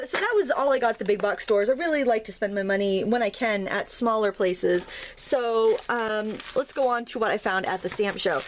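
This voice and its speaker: A hoarse woman in her thirties, chatting casually and rambling a little as she shows off craft supplies in her home studio. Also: medium pitch, slight background noise. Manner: chatty, rambling, friendly asides. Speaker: craft video host. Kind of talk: casual haul chat.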